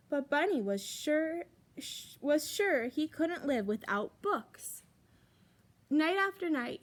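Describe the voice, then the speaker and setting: A woman reading a children's picture book aloud as a library storyteller, expressively and with emphatic pauses. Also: high voice.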